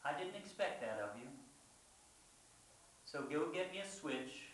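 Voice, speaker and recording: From a distance in a very reverberant room comes a weary country mother played by a man storyteller. The speech is measured and reproachful, disappointed but gentle in its sternness. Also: a little high, expressive, a little hiss or hum. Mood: disappointed, stern. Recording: very echoey room, far from mic.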